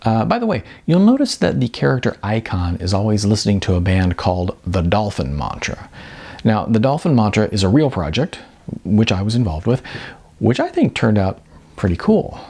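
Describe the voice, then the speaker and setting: A man reads from his own novel with unhurried, expressive delivery. His low voice has a gravelly edge, and his pitch rises and falls in animated swings. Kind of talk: audiobook-style novel reading.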